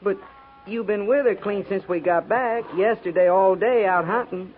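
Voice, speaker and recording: An actor playing a folksy, loyal army sergeant with a rustic Western American accent, in 1950s radio drama style. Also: male voice, sits high, normal speed, close to an everyday voice. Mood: gossipy, puzzled. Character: folksy, loyal, plain-spoken army sergeant of the Old West. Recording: tinny, hissy 1950s radio recording.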